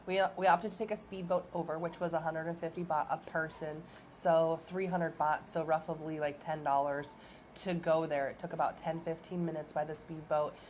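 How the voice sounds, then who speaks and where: A woman with a low voice and a clogged, stuffed-up nose talks through her travel day in an easy, rambling way, filling pauses with ums and uhs. A faint hum of ambience sits behind her. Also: fairly even intonation, a little mellow. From American Midwest. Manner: chatty, frequent ums and uhs. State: stuffed-up nose from a head cold. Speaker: travel vlog co-host. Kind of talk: casual travel vlog chat.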